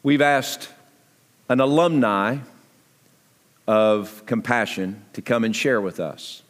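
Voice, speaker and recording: Male preacher, mid-range voice, measured and emphatic delivery, speaking live to a congregation with long hall reverb. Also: medium pitch. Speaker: pastor. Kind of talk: sermon appeal and guest interview before a congregation. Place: church sanctuary, amplified to a congregation. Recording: echoey large hall reverb.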